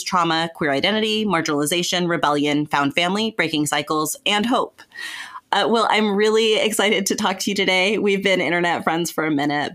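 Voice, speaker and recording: A woman's voice: deep voice, fairly bright tone. A woman hosting a podcast in casual chat, informal and sweary with a self-deprecating way of talking. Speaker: podcast host.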